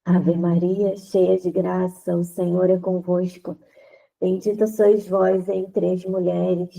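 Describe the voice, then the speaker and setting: A congested woman speaks with a stuffy, nasal voice, gravelly and dark in tone. She talks at an unhurried pace, gently and deliberately, as she leads a women's faith group. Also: lowish voice, poor audio. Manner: gentle, deliberate, repeats phrases while searching for words. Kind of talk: prayer then slow spoken teaching.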